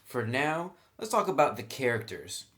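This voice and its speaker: A man with a medium-pitched voice presents an informal product review. He explains each item in a conversational manner, as a host walking listeners through the details. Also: big pitch swings, normal speed, fairly bright tone.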